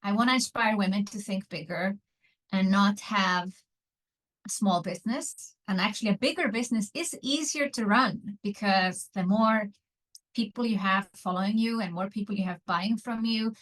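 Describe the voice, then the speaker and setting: A woman, an online business mentor telling her story as a guest, earnest and pausing often with fillers. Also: mid-range voice, fairly bright tone. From Iceland. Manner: earnest, frequent filler pauses.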